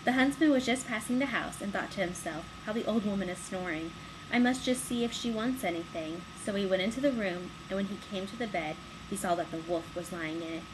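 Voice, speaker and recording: A woman with a medium-pitched voice reads a bedtime tale aloud. Noticeable background noise runs behind her. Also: brisk. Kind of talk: storybook narration. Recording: clearly noisy background ambience.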